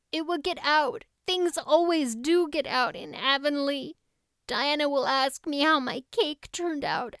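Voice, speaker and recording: Woman doing dramatic anguished little girl for an audiobook, really high voice, wailing and overwrought. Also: a bit bright, no echo, studio quality. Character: melodramatic, anguished young girl, talkative and imaginative.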